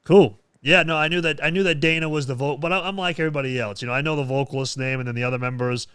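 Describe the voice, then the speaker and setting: A man's voice: medium pitch, fairly bright tone, clear, resonant tone, no echo, studio quality. A man hosting a casual podcast chat, rambling with frequent restarts and easy laughs. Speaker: podcast host and metal vocalist.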